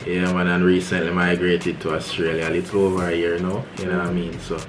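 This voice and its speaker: A man's voice: low-pitched, monotone, fast talker, background noise. A young man from Jamaica with a Jamaican accent, co-hosting a family vlog in casual chat, laid-back and laughing often. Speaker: vlog co-host. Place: home bedroom.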